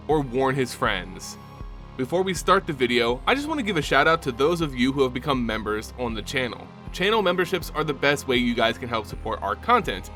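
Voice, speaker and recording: A man narrating a scripted fantasy story dramatically, with theatrical, deliberate phrasing. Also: medium pitch, sharp tone. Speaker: channel host and narrator.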